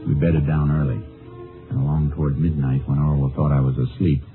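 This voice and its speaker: Texas Ranger: low, American, laconic, folksy, unruffled and shrewd. Vintage radio Western sound, narrow band, music under. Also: male voice, flat delivery, fairly quick. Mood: matter-of-fact narrating. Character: calm, shrewd male Texas Ranger posing as a drifter. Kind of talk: 1950s radio drama acting with first-person narration. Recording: muffled old-time radio recording with music.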